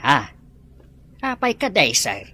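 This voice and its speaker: Slow, worried old manservant voiced by a woman. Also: sits low, huge pitch swings, bright, forceful tone, exaggerated voice. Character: worried older male servant, deferential, cautioning. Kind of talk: Ilocano audio drama acting.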